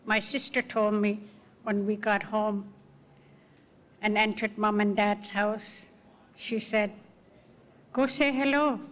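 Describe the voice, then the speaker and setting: An older woman from Arctic Canada giving measured personal testimony as a guest speaker. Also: mid-range voice, fairly even intonation, fairly bright tone. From Arctic northern Canada. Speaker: guest speaker, residential school survivor. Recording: long reverb of public-address sound.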